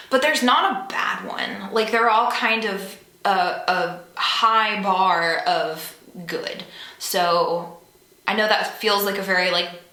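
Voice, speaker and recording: Young woman chatting thru fan questions, bright voice, lots of "like", echoey room. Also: medium pitch. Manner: chatty, frequent "like" fillers, self-deprecating. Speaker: singer-songwriter answering fan questions. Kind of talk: casual Q&A chat. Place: home room.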